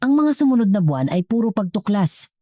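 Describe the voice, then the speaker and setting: A woman's voice: medium pitch, sing-song, a little fast, dark tone, no echo, studio quality. A woman with a Filipino accent narrating a scripted story as a storyteller.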